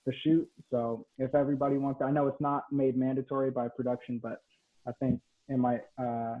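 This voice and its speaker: An actor on a video call, speaking politely and joking about himself. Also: male voice, mid-range voice, flat delivery, brisk. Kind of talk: casual video-call meeting talk. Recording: tinny phone-like call audio.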